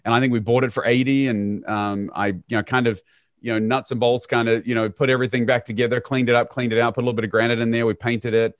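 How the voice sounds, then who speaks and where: A man, a guest telling his story in a relaxed, conversational way with frequent fillers, on a video call from a small home office. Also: mid-range voice, quick pace, no room sound, clean studio sound. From New Zealand. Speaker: guest, real estate CEO. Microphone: studio mic on boom arm, video call.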